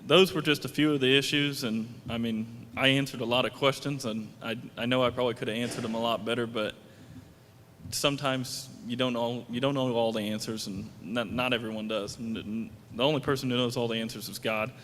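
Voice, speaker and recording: A man's voice: fairly even intonation, a bit bright. Plain-spoken man in a hall. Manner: plain-spoken, halting with restarts and fillers. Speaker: county commission candidate. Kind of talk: candidate debate answers. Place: event hall, PA sound system. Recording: long hall reverb, faint rumble.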